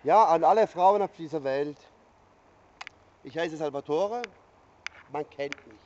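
A man's voice: fairly high pitch, unhurried, a little mellow, muffled recording. A middle-aged man from Sicily with an Italian accent, joking and rambling to a handheld camera outdoors under a river bridge, full of hesitant filler sounds. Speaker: vlogger. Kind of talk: joking self-introduction to camera. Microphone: handheld camera nearby.

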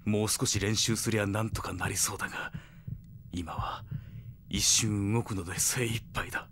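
An actor playing a tough young male delinquent hero in an anime audio drama, gruff and terse, with rough street talk and a husky voice. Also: on the low side, fairly even intonation, normal speed, fairly bright tone, slight background noise, natural voice. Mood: wary, determined.

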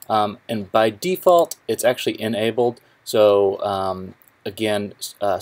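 A young man presenting a casual tech tutorial in an informal, halting way, often correcting himself. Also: on the low side. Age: young adult. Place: small office cubicle indoors, then screen recording voice-over.

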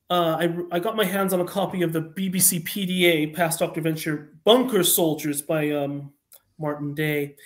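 A man with a high voice gives interview answers as a guest writer on an online call. He is earnest and tells things as a story, often halting and restarting his phrases. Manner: earnest, halting, self-correcting.

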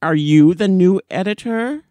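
A man voicing an austere, indignant old gentleman who questions testily in an audiobook story. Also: sits high, measured pace, dry recording, pitched above the performer's own voice. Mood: stern, skeptical. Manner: stern, testy questioning.

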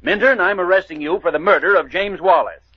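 A husky police chief in a vintage radio mystery. He is shrewd and deliberate, pitched a little high, in a dry, dull old recording. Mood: brisk, probing. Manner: deliberate, authoritative questioning and explaining. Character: brisk, shrewd male police chief investigating a murder. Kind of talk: 1940s radio drama acting.

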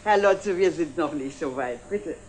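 An actress playing a worried but composed middle-aged housewife in a 1960s German TV drama, speaking politely and firmly. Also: female voice, sits low, lively intonation, measured pace, a little mellow. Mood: polite, uneasy. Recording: slightly noisy old 1960s TV soundtrack.

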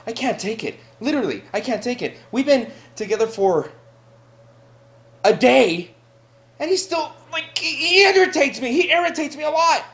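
A man's voice: high-pitched, lively intonation, moderate pace, creaky. A man playing an irritable young roommate in a mockumentary comedy sketch, whiny, exasperated and emphatic.

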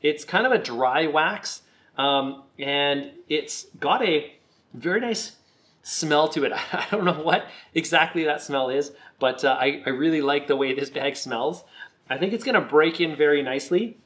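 A man with a slightly croaky voice, recovering from a cold, giving a relaxed, chatty product review on his video channel. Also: fairly high pitch, unhurried. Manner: relaxed, chatty, with some fillers.

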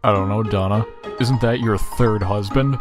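A man voicing a laid-back, sardonic skeleton in a cartoon comic dub, deadpan and lazy. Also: lowish voice, moderate pace, close to an everyday voice. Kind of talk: comic dub character acting. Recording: loud guitar music behind.

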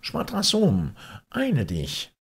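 A man's voice: on the high side, wildly swooping pitch, slow, gravelly, breathy edge, pitched above the performer's own voice, full character voice. A man voicing a scheming, grumbling merchant who haggles and exclaims in a fairy tale.

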